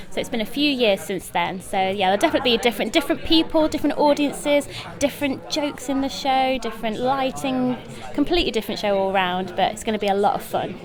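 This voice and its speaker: Young british woman doing an interview, really keen and gushy, crowd chattering behind her. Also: on the high side. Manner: enthusiastic, effusive. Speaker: pantomime actress being interviewed. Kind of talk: interview chat. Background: busy press event crowd.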